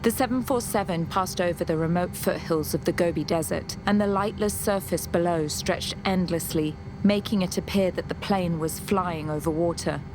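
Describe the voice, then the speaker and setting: A woman's voice: moderate pace, low-quality recording. A woman reading dramatic scripted narration as the narrator of an audio story. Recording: loud music bed, engine rumble.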